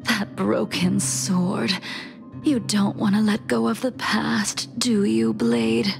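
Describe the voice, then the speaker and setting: An aloof, solemn anime game swordswoman with heavy creak in a mid-pitched voice. She speaks slowly and with poise over clear music. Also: animated, heavily acted. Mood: aloof, wistful. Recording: music behind the voice.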